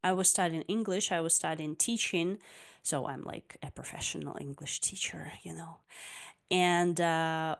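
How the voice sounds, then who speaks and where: A woman, an online English tutor talking casually to her viewers. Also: lowish voice. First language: Russian. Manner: chatty and personal, with frequent "like" and "uh". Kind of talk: casual talk to camera.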